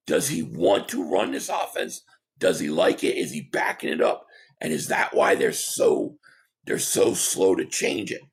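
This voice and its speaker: A man hosting a sports talk livestream, hoarse and losing his voice, reading chat comments and giving rambling, opinionated takes. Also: fairly low pitch, a bit bright. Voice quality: hoarse, gravelly. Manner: rambling, opinionated, repeats himself.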